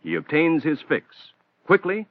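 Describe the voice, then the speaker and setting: A man giving measured, scripted technical narration for an instructional film. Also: on the high side, very animated, dry recording. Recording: tinny narrow-band old film soundtrack.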